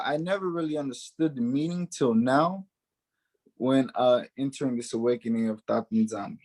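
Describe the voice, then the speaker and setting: A man's voice: mid-range voice, a little mellow. Earnest young man from Haiti. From born in Haiti, raised in the United States. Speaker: guest giving testimony. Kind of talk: personal spiritual testimony.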